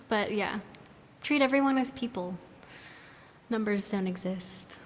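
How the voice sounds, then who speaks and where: A woman's voice: mid-range voice, takes it slowly, darkish tone. A woman chatting casually on a live stream, informal and giggly, drifting into little asides. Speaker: singer and music maker, social media producer. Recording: long reverb on live stream mic.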